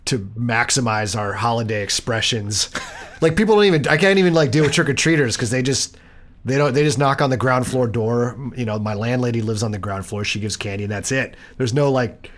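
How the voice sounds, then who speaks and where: Casting: male American podcast host, average pitch, loose conversational delivery with wry irreverence. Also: brisk. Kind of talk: casual, joking chat.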